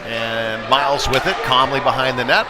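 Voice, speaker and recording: Male American sports commentator, mid-pitched voice, live hockey call, conversational, recorded amid heavy arena noise. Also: steady tone, moderate pace, a loud crowd. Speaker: play-by-play commentator. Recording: loud rink noise behind the voice. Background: noisy indoor ice rink with bangs.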